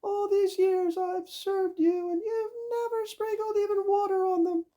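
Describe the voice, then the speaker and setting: A squeaky, extremely high-pitched enchanted gate. It sounds plaintive and neglected, grumbling like a long-ignored storybook servant. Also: male voice, level tone, measured pace, dark tone. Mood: complaining. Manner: plaintive, complaining.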